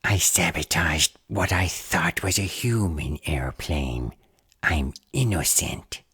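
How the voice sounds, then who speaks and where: An actor playing a defensive male gremlin prisoner in an audio roleplay, with a raspy growl and a slow, terse delivery. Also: sits low, bright, forceful tone, full character voice.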